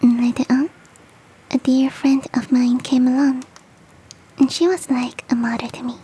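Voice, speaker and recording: A woman voicing a big, fluffy, motherly and flirty yeti in a soft, teasing, whispered ASMR roleplay. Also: high voice, flat delivery, measured pace, slightly rough, heavily acted. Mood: reassuring, cozy. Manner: tender, teasing, coaxing.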